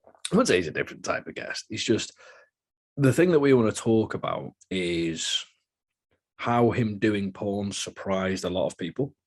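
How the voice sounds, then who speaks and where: A man's voice: on the low side, expressive, normal speed. A British man hosting a podcast in a chatty, joking, rambling way. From Britain. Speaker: podcast host. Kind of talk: casual podcast chat.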